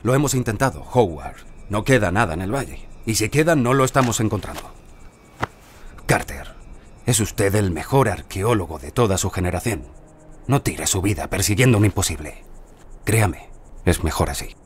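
A man acting a deep, grave aristocratic patron. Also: lively intonation, moderate pace, slight background noise, natural voice. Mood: firm. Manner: firm, grave, formal. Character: resigned, authoritative aristocratic patron, older English lord.

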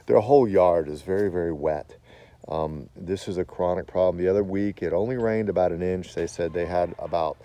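A man's voice: sits low, dark tone, a little hiss or hum. A man, a landscaping contractor, giving a plainspoken, folksy walk-through explanation outdoors in a wooded backyard, filming himself on a phone. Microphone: phone camera held by speaker.

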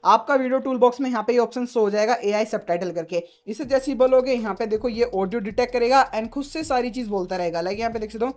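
A man's voice: extremely high pitch, fast talker, hardly any pauses, a little mellow. A man giving a casual phone tutorial as a tech YouTuber, mixing in English terms and leaning on repeated filler phrases.

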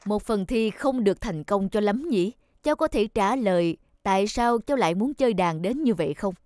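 A man voicing a stern but kindly middle-aged contest judge in a cartoon, measured and formal. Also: high voice, lively intonation, a little mellow, slight background noise. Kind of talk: cartoon voice acting.

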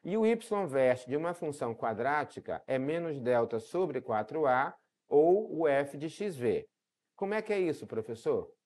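A man's voice: on the high side, sing-song, normal speed, a little mellow. A man with a Brazilian accent teaching a math video lesson step by step, often posing questions to check understanding. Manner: didactic, asks rhetorical questions and checks in.

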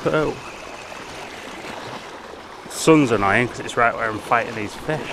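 Young male angler, casual excitable commentary, action-camera audio outdoors with heavy surf and wind noise. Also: mid-range voice, slow. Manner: excitable, laughing, chatty while reeling in fish. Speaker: angler filming his own fishing trip. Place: outdoors on rocky sea shore by waves. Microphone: head-mounted action camera.

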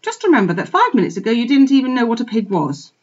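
A warm, motherly collie bitch, given voice by a woman reading aloud in a storybook. She soothes and reassures, her voice swooping up high, a little husky and heard through a slightly dull recording. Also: sing-song, quick pace. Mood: sharp. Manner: gentle, soothing, calls the piglet dear. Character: motherly female collie, kind but firm.

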